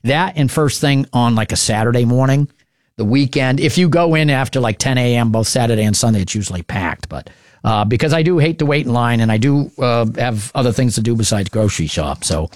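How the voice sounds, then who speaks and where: A man's voice: mid-range voice, animated, a little fast, no room sound, clean studio sound. A man hosting a call-in radio show, chatting in a rambling, easygoing way and laughing often. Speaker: radio talk show host.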